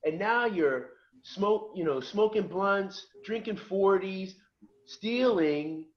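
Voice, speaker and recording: A man's voice: high voice, slow pace, highs cut off. A middle-aged man from just outside Philadelphia, a podcast guest telling his life story in casual chat and acting out the voices of the people in it. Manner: lively storyteller who acts out quoted voices.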